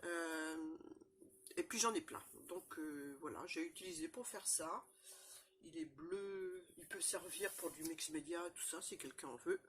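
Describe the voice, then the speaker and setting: A woman with a cold, chatting casually through a crafting demonstration, rambling and filling pauses often. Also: low voice, fairly bright tone, slight background noise. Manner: chatty, rambling, constant fillers and "voilà". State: has a cold, stuffy. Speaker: crafting channel host. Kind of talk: casual show-and-tell crafting demo.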